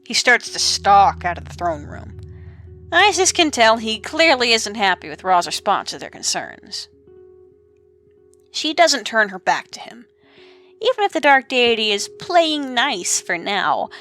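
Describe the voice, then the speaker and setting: A woman narrates a dramatic story with suspense. Her voice is bright and clear-edged, with animated pitch, over a faint bed of music. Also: unhurried. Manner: expressive, suspenseful.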